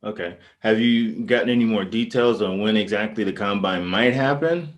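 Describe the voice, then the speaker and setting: A man hosting a relaxed online interview, from California. His voice is slightly low and his manner is loose and friendly, with slang thrown in. He asks his questions in an easygoing way. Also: moderate pace. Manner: friendly, casual, says man and bro. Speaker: host of online talk. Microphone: video call.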